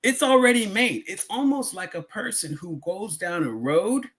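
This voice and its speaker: An American man delivers a sermon-style lecture in a rough-edged, husky voice. His intonation moves widely as he leans on key words. He keeps it conversational, repeating phrases and posing rhetorical questions to his listeners. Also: high-pitched, animated, moderate pace, a bit bright.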